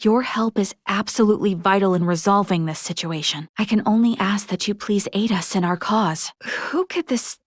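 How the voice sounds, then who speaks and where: A woman's voice: medium pitch, normal speed, hardly any pauses, no room sound, clean studio sound, lightly acted. A woman playing an earnest young female military officer in a space video game, speaking formally and articulately with resolve. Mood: troubled, pensive. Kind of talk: scripted video game character dialogue.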